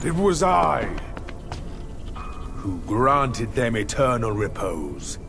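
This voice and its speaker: A man playing a proud, brooding bear demon in a game, grandiose and swinging between hushed and booming delivery. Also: big pitch swings, very slow, creaky, full character voice. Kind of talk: dramatic game cutscene acting. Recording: music behind. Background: game music.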